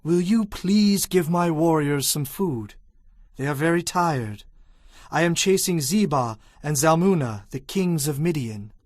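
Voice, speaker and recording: A resolute, higher-voiced ancient war leader. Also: male voice, animated, measured pace, darkish tone, no echo, clean studio sound. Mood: earnest request. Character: Gideon, resolute Israelite war leader, firm and stern. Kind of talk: dramatized audio Bible acting.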